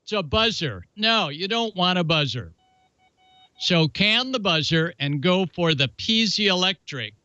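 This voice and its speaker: A man's voice: high voice, sing-song, a little slow, pushed and bright, dull audio, a little hiss or hum. A man hosting a hobby show, talking through announcements and hands-on instructions in a folksy, chatty, playful way. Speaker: ham radio show host. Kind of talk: casual hands-on how-to demonstration with announcements.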